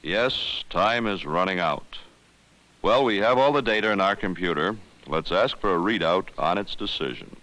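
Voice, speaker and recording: A man playing a solemn starship captain with a formal, deliberate delivery in a 1970s educational film. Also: low voice, animated, pushed and bright, rough recording. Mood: grave, commanding. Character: solemn male starship captain leading an alien survey expedition. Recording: vintage 1970s film soundtrack.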